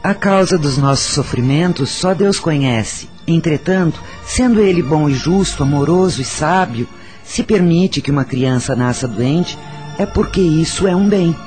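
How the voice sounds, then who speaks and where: An actor playing a solemn, wise spiritual counsellor in a radio soap, preaching and storytelling in a measured way with a Brazilian accent. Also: male voice, fairly high pitch, lively intonation, a little mellow, soft background music, lightly acted. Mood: solemn, consoling.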